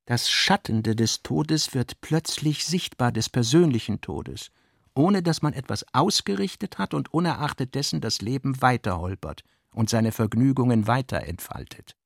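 A male actor as the grave narrator of a philosophical novel in a radio play, reading in a measured, solemn literary manner. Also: medium pitch, expressive, creaky, dry recording, studio quality. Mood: grave, reflective.

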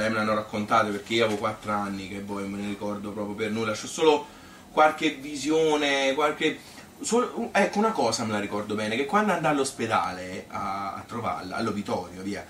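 A man's voice: on the high side, a bit bright, reverberant, recorded from a distance, slight background noise, close to an everyday voice. An actor playing an elderly Tuscan grandmother who reminisces in a rambling, repetitive, colloquial way, as a theatrical monologue. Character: elderly Tuscan grandmother Annunziata reminiscing about her hard childhood. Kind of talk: theatrical monologue, spontaneous spoken storytelling.

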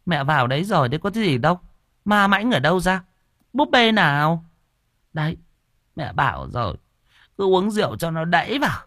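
A man playing a shrill, fussing old mother. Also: sing-song, moderate pace, pauses often, fairly bright tone, dry recording, clean studio sound. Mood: scolding. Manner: shrill, nagging, high-pitched.

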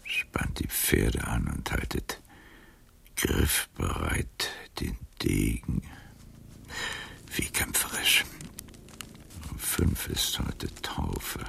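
An actor playing a frail, wry old man in a radio drama, muttering to himself in a gravelly, breathy whisper as he reads aloud. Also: extremely low pitch, very slow, pauses often, sharp tone, exaggerated voice. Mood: weary whispering. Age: older.

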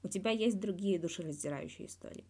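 A woman voicing a calm male interviewer who asks direct, brief questions in a scripted fan fiction audio reading. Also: fairly low pitch, fast talker, breathy edge. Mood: probing.

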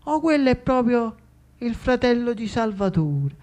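A man voicing excited, mocking Neapolitan street ruffians, exclaiming in dialect in a stage monologue. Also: very high voice, measured pace, voice pushed up, exaggerated voice. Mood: amused, mocking.

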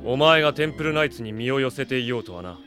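A man's voice: mid-range voice, lively intonation, natural voice. Cool, aloof swordsman villain, played by a man. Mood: cold, probing. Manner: formal, cool, deliberate. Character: cool, aloof male rival swordsman, coldly menacing. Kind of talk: Japanese radio drama acting. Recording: studio drama with music behind.

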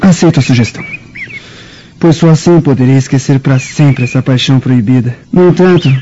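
A man's voice: mid-range voice, brisk, mellow, highs cut off, slight background noise, natural voice. A Brazilian-accented man playing a lovelorn, dutiful young Roman soldier in a radio drama, with earnest, formal speech. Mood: earnest, resolved.

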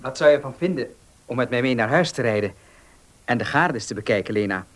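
Casting: actor for cocksure young rascal in radio drama, teasing, highly animated delivery. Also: male voice, brisk, natural voice. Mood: eager, coaxing. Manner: teasing, cocky. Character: cheeky, cocksure young nephew, teasing his rich aunt. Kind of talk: Dutch radio play acting.

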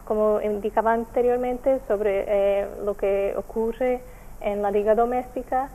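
A woman from Portugal with a Portuguese accent, a native Portuguese speaker, giving careful, halting interview answers as an NGO representative. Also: mid-range voice, steady tone, dark tone, low-quality recording. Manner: halting, careful, searching for words. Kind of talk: explanatory radio interview answers.

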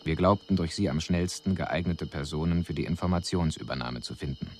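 An actor playing a detached alien envoy who delivers a formal, precise, clinical report in a radio drama. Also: male voice, rumbling low, fairly quick, a little mellow, a little hiss or hum. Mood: detached, grave.

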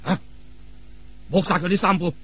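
A ruthless little martial arts fighter played by a male storyteller speaks Cantonese in a high, forceful voice. He is curt and commanding, a storybook gang boss giving orders. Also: fairly even intonation, normal speed, slightly rough. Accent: Hong Kong or Guangdong Cantonese.

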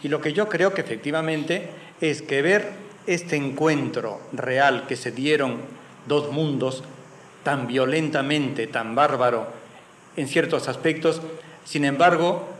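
A man giving reflective academic commentary as an anthropologist. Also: a little high. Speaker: anthropologist and historian, priest. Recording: roomy echo, faint background noise.

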